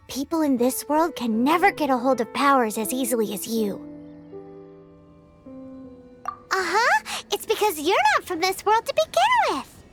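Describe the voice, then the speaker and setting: A woman voicing a tiny childlike fairy guide in a video game, squeaky, perky and eager to help. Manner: perky, refers to herself in third person. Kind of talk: cartoonish video game voice acting.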